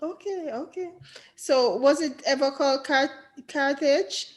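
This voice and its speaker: Slow, deliberate woman podcast host. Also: sits high. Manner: slow, deliberate reading, enthusiastic welcomes. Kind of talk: scripted intro then casual interview.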